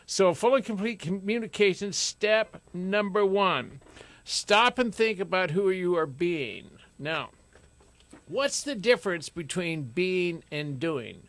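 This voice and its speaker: A middle-aged man hosting a radio talk show in a studio, talking loudly and forcefully with jokes. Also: sits high, lively intonation, slow. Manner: loud, forceful, joking.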